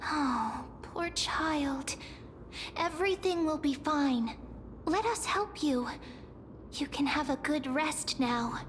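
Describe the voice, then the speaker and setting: An actress playing a gentle, compassionate young woman in a video game, speaking in an earnest, tender and measured way. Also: high-pitched, lively intonation, background noise. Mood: soothing.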